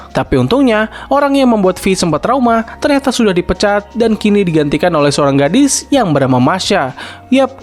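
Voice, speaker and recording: A young man with an Indonesian accent narrating a scripted cartoon recap, with bits of casual slang. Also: sits high. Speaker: video host and narrator.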